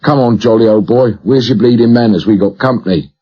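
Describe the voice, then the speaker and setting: Man voicing a hoarse, weary Cockney radio operator. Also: mid-range voice, fairly quick, mellow, muffled recording, voice pushed up, close to an everyday voice. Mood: wry, welcoming. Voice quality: hoarse, weak. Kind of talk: audiobook character dialogue.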